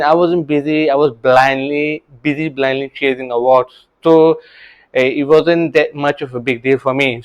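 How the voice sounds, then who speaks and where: A man's voice: a little high, clear, resonant tone. A man, a real estate coach telling his story in an earnest, casual way, often restarting words and adding "right?". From Singapore. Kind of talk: casual motivational talk to camera.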